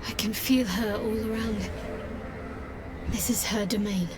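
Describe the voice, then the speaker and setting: A woman's voice: medium pitch, fairly even intonation, unhurried, noisy background, close to an everyday voice. A woman voicing a devout, guarded young cleric in a video game, reverent, in short clipped phrases. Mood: reverent, resolute. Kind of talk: video game character acting.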